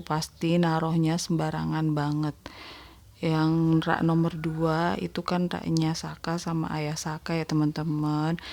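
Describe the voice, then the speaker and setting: A woman with a congested, nasal voice, gently narrating a casual voice-over vlog about her day to her viewers. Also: deep voice. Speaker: homemaker vlogger narrating own daily routine. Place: voice-over recorded separately at home.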